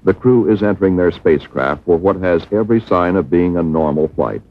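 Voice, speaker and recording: Deep-voiced American man narrating an old film. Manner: measured, formal delivery. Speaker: documentary narrator. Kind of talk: scripted educational film narration. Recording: muffled, worn 1960s film soundtrack.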